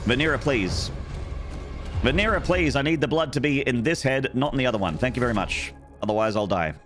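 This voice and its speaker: A man's voice: mid-range voice, expressive, fairly quick, a bit bright, faint music behind. An Australian man streaming a game, chatting to viewers in a joking, rambling way. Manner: joking, rambling, playfully flirty with chat. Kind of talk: casual live-stream chatter while gaming.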